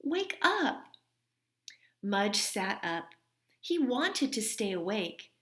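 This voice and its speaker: A woman reading a children's story aloud expressively and deliberately, as a teacher speaking to young listeners. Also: mid-range voice, sing-song, fairly bright tone.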